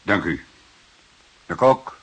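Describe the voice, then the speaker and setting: An actor playing a calm, stubborn, dryly ironic police detective in a radio drama, speaking in a measured way. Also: male voice, natural voice. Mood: brisk, formal. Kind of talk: Dutch radio drama acting.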